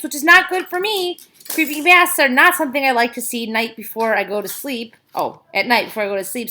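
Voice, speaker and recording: A woman reading a children's story aloud like a teacher, with expressive emphasis on key words and casual asides. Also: on the high side, slight background noise.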